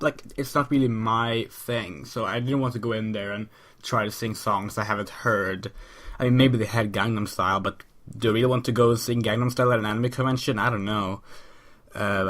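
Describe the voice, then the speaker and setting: A man hosting his own podcast while sick, talking in a rambling, chatty way with many ums and restarts. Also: medium pitch. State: sick, voice a bit off. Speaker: podcast host. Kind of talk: casual solo podcast monologue.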